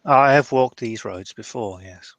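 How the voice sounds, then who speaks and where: A man playing a courteous, dryly doubtful old hermit mage in a tabletop roleplay, musing haltingly in old-fashioned wording. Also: medium pitch, no echo, natural voice.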